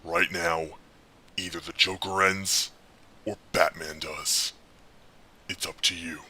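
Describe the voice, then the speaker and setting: An actor playing a brooding, world-weary masked vigilante in an audio drama, with a raspy growl and a slow, grave delivery. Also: male voice, low voice, flat delivery, sharp tone, heavily acted. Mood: stern ultimatum.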